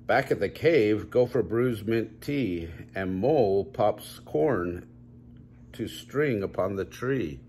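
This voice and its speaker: An older man reading a children's story aloud at home in measured, drawn-out storybook phrasing. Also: fairly low pitch, lively intonation, a little mellow, a little hiss or hum. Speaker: grandpa reading a picture book aloud. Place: small home room, indoors.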